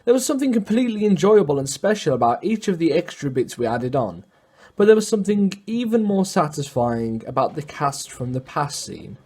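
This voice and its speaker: A man with a slightly high voice delivers scripted documentary narration. He speaks as the narrator, reading prepared sentences. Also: sing-song, fairly quick, warm tone, breathy edge.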